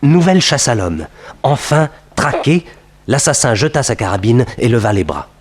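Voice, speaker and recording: A man narrates a vintage radio thriller. His mid-pitched voice moves with lively intonation. The delivery is scripted and dramatic, built for suspense. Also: normal speed, slightly rough.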